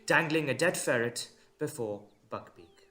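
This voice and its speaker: A man's voice: mid-range voice, lively intonation, unhurried, a bit bright, faint music behind. A man giving dramatic scripted narration for an audio drama.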